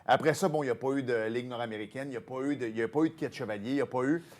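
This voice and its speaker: A man with a Québécois French accent hosting a casual studio chat, telling stories with animated loud bursts. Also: mid-range voice, racing. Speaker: host.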